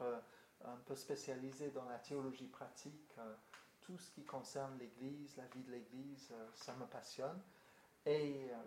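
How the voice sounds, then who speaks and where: An older British-accented man speaks to a gathered group as their guest pastor, halting often with fillers and restarts. His voice sits in a middle register. It carries in an echoey room over a faint hiss. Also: medium pitch, darkish tone. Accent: British English. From Britain. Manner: hesitant, many fillers and restarts. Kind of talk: casual address to a group.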